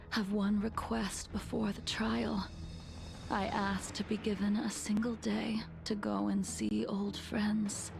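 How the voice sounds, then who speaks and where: A remorseful swordswoman who speaks in a slow, hushed half-whisper with a gravelly rasp underneath. She sounds calm and distant, and game music plays behind her. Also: mid-range voice. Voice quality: soft, whispery delivery. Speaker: game character. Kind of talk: game cutscene voice acting.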